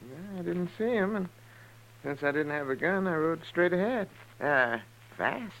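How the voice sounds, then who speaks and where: An American actor playing a crotchety, excitable frontier doctor, testy and hemming, in a 1950s radio western. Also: male voice, high voice, a little slow, full character voice. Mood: testy, indignant. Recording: faintly noisy vintage radio recording.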